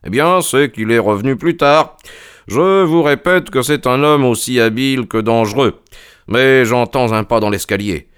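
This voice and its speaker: A man voicing a sharp, confident detective in a brisk, authoritative, emphatic way. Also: medium pitch, clean tone, dry recording, clean studio sound, pitched above the performer's own voice, lightly acted. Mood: keen, urgent. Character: sharp, commanding male detective, confident and urgent.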